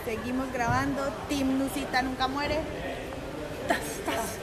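A woman's voice: high-pitched, steady tone, measured pace, a loud crowd. A young adult woman with a Colombian paisa accent chatting playfully into her phone while vlogging outdoors. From Colombia, Antioquia. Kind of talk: casual selfie vlog chat. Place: outdoors at a beach, later a club. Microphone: phone at arm's length.

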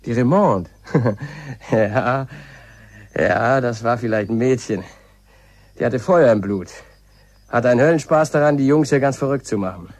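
An actor playing a plain-spoken, gossipy village car mechanic in a radio play, chatting colloquially. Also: male voice, medium pitch, unhurried, long pauses, a little mellow, dry recording, close to an everyday voice. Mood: amused, gossipy. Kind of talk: German radio play acting.

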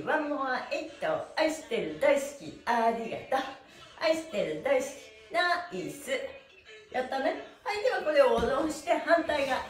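A middle-aged woman with a high, very animated voice leads a stretching routine. She is cheerful and energetic, turning her counts into sing-song chants. She is heard from a little way off in an echoey room. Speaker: stretching and exercise instructor. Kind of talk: upbeat follow-along exercise instruction with counted chants. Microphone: camera a few steps away. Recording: roomy echo, distant microphone, music behind.

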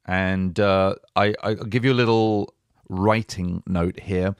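A man's voice: low-pitched, steady tone, takes it slowly, clear, resonant tone, no room sound, clean studio sound. A man from England hosting a casual language-lesson podcast, joking and reading listener reviews aloud. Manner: chatty, jokey, reads listener reviews aloud. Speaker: podcast host.

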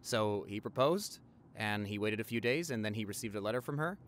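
Over faint car noise, a man acts out a loving doctor boyfriend in his forties. He tells his stories in a casual, halting, intimate way, as audio roleplay for a partner. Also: lowish voice, animated, fairly bright tone. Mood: fond, reminiscing. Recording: car ride ambience behind the voice.

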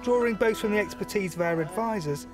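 Male presenter, 30s, fairly high voice, scripted film narration, clear music bed underneath. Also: a little fast. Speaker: programme manager and presenter. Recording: music behind the voice.

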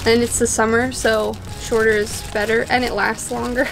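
Chatty young woman with a gravelly edge. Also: on the high side, little pitch movement, moderate pace, music in the background, poor audio. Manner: chatty, explains as she works, laughs at herself. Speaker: vlogger cutting her husband's hair. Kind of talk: casual how-to chat. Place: outdoor deck by woods.

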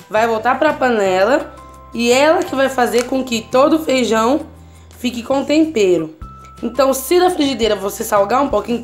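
Brief: female cooking host, Brazilian Portuguese accent, higher voice, chatty and reassuring, faint music under her. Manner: chatty, constantly checking in with the viewer. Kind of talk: casual home cooking tutorial. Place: home kitchen, at the stove.

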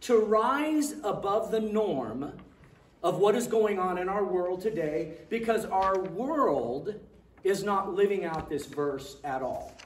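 An older man preaching a sermon as pastor on a large church stage, projecting his voice loudly with a joking manner. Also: high-pitched, a little hiss or hum. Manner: jokey, loud projecting preacher. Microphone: far camera, handheld mic. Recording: roomy sound from far off.

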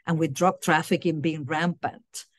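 A woman's voice: low voice, fairly even intonation, unhurried, no room sound, clean studio sound. A middle-aged woman from Puerto Rico answering interview questions over a video call from a small room at home, earnest and often repeating words as she searches for her phrasing. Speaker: guest, university diversity director. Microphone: laptop webcam on video call.

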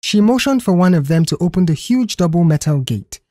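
A woman's voice: fairly low pitch, expressive, a little fast, heavy background noise. A woman narrating a dramatized audio story, reading scripted prose.

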